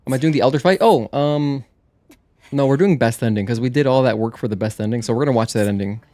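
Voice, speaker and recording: A man's voice: mid-range voice, fast, dark tone, dry recording, clean studio sound. A man giving casual livestream game commentary, chatting with viewers in a rambling way that breaks off to react and answer them. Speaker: livestreamer playing video games. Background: video game music.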